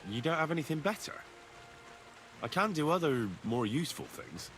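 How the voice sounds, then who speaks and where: An actor playing a young drifter looking for work, pushy and sarcastic. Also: male voice, a little high, sing-song, normal speed, fairly bright tone, soft background music.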